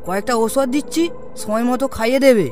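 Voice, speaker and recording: A man doing a high, calm village doctor. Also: fast, soft background music. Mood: reassuring. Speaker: voice actor in an animated story. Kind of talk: cartoon voice acting.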